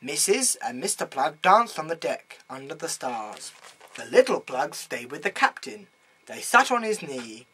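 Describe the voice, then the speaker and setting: A man reading a children's bedtime story aloud in an animated way with dramatic emphasis. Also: a little high, a little slow, breathy edge.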